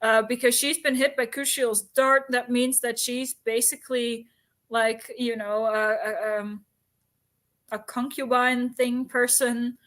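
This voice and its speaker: A woman's voice: on the high side, level tone, unhurried, a bit bright. A woman chatting casually and explaining things in an informal, talkative way, with asides. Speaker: book discussion co-host. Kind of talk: casual chat about books and history.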